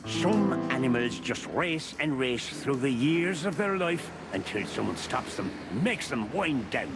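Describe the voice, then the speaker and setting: Lively, folksy old Irish beaver. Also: male voice, medium pitch, a little slow. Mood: reflective. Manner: teasing, folksy, chuckling old-timer. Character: jovial, stubborn old beaver, fatherly city local. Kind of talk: video game voice acting. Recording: music under the voice.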